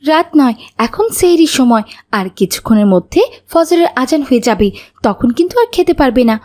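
A woman as a high-pitched, earnest older-sister cartoon girl. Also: animated, moderate pace, darkish tone. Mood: earnest, admonishing. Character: sensible, caring, preachy girl, an older sister.

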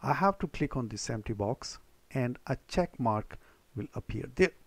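Male tutorial presenter, mid-pitched, methodical and repetitive instruction, dry voice-over recording. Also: lively intonation, normal speed, no echo. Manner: step-by-step, repeating instructions. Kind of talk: spoken how-to computer tutorial.

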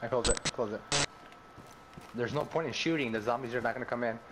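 Excitable man gaming over noisy background. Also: mid-range voice, a little fast. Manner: excitable, repetitive, foul-mouthed. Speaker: video game player. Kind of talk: casual gaming chat with friends.

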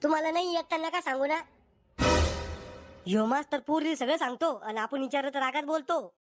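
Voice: sits high, a little fast, over loud music, close to an everyday voice. A voice actor playing a cheeky, boastful village schoolboy.

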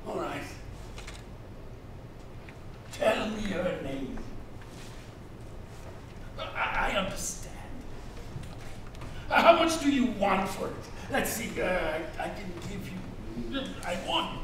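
A man's voice: sits high, sing-song, a bit bright, full character voice. An older man acting a vain, possessive old slaving captain in a theatrical stage reading. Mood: bargaining. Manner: theatrical, slow, intense. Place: large theater stage, indoors. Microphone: far from the speaker on stage. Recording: echoey hall, distant mic, hiss.

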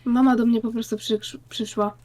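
High-pitched woman in gaming chat. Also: level tone, moderate pace, warm tone. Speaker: co-player in a multiplayer game.

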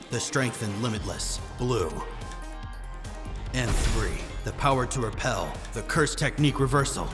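A man's voice: mid-range voice, long reverb tail, close to an everyday voice. A man voicing a hardened, proud assassin in an anime fan dub, speaking in a grim, restrained manner. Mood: wary, analytical. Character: hardened adult male assassin, proud, brooding, cynical. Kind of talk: anime manga fan dub, inner monologue.

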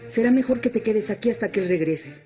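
An actress playing a kind older woman in a telenovela, calming a distressed young woman. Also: medium pitch, quick pace, faint music behind, lightly acted. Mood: consoling. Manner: gentle, soothing. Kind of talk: 1990s telenovela acting.

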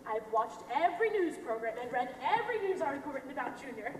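A woman's voice: high-pitched, big pitch swings, quick pace, a little mellow. A young American woman playing a pompous, gushing middle-aged mother in a stage melodrama, declaiming grandly. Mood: melodramatic, doting. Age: young adult. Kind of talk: projected stage acting. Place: theater stage, distant camera. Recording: echoey noisy hall from far off.

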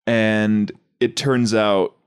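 A man casually brainstorming as a sketch comedy podcaster, halting as he thinks aloud. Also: fairly low pitch, flat delivery, clean tone.

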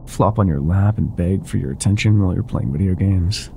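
A man playing an older ex-mafia boyfriend, wry, tender and self-doubting, with a husky, breathy voice in an intimate roleplay audio. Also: low-pitched, brisk, warm tone, a little hiss or hum. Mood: wry, affectionate.

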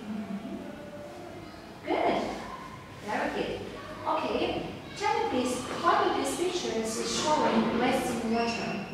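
A young woman teacher asking a child test questions slowly and clearly, with short praise between answers. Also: fairly high pitch. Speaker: English teacher giving an oral test. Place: small classroom, indoors. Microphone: far camera. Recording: echoey room, far from the mic, background noise.

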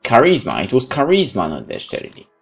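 A woman's voice: extremely low pitch, wildly swooping pitch, fairly quick, vocal fry, husky, soft background music. A woman gamer reading game text aloud and adding casual, incredulous commentary. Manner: incredulous asides. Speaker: gamer doing a playthrough. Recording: faint background noise.

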